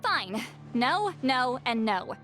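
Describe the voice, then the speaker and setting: A woman's voice: sits high, sing-song, slow, fairly bright tone, heavily acted. A woman playing a prickly, proud, ageless shaman in an anime game, curt and exasperated in manner. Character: centuries-old, youthful-sounding, prickly proud shaman granny. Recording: clear game music behind.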